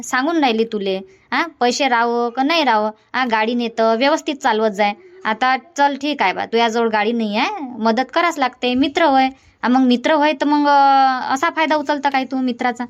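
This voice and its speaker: A woman's voice: high-pitched, dull audio, close to an everyday voice. A woman voicing a middle-aged village aunt with a Vidarbha Marathi accent, who lectures and scolds in long run-on stretches. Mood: lecturing.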